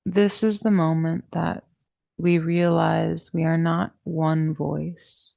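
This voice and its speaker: A woman teaching a guided meditation class, speaking in a soothing, deliberate way with long pauses and a soft-edged, creaky voice. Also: low-pitched, little pitch movement, lo-fi sound. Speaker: yoga nidra meditation teacher.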